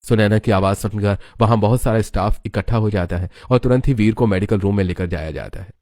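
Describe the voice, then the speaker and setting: Low-voiced male story narrator. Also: brisk, a little mellow.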